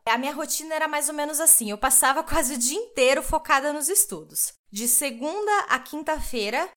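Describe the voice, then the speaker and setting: A woman's voice: sits high, bright, forceful tone. A woman with a Brazilian Portuguese accent hosting a solo podcast, talking straight to her listeners in a chatty, enthusiastic way and laughing often. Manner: chatty, addresses listeners directly. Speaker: podcast host.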